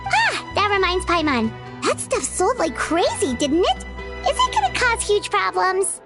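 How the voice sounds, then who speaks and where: A woman voicing a tiny, excitable female fairy companion in a game, who refers to herself in the third person. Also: very high-pitched, unhurried, full character voice. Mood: excited. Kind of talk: game cutscene voice acting. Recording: game audio with music behind.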